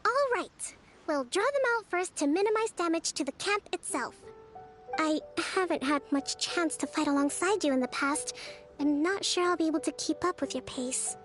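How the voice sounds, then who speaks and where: A woman voicing a calm, composed young strategist in a video game, speaking in a measured, softly spoken, analytical way. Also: sits high, soft background music. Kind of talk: game dialogue.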